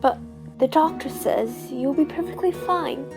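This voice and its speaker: A woman voices an anxious young son in a radio play. The boy is high-pitched and speaks slowly, hesitant and pleading, devoted to his parent. Music plays clearly behind the voice. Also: rough recording. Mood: anxious, hopeful. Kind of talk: radio theater acting.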